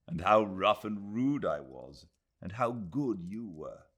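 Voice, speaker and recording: A man voicing a kindly elderly English lady in an audiobook, with a lively, affectionate manner. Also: lowish voice, takes it slowly, exaggerated voice. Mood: animated, fond. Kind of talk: audiobook character voice.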